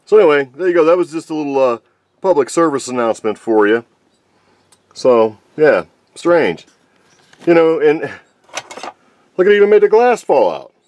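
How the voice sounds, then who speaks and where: A man in his forties from New Mexico, talking casually to camera from inside a parked van, excitable and exasperated. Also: a little high, moderate pace, pauses often, dry recording. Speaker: vlogger. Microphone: camera mounted in the car.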